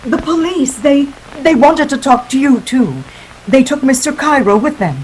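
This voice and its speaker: A woman's voice: high voice, animated, normal speed, darkish tone, a little hiss or hum, low-quality recording. A woman playing a scheming, seductive femme fatale in a 1940s-style radio drama, with melodramatic pleading and world-weary guile. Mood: anxious.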